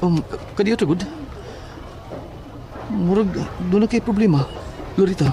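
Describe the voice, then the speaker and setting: A fairly high male voice with a Cebuano accent from a man acting. He is a talkative, spooked young fellow in a horror audio drama. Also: measured pace, warm tone, faint music behind. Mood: worried.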